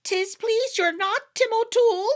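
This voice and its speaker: A woman doing a sly high-voiced little fairy man. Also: unhurried. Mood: teasing. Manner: sly, high and sing-song. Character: leader of the wee folk, sly little man.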